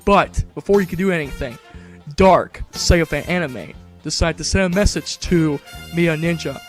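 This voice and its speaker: A man reading scripted narration for a fan video in an even tone. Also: on the high side, moderate pace, faint music behind.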